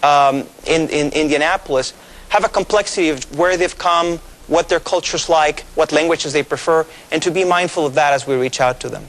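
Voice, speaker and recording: A man in his thirties from Mexico, a pastor with Spanish as his first language, explaining things in a measured way with many fillers during a TV studio interview. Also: on the high side, a bit bright, poor audio. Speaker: pastor, guest.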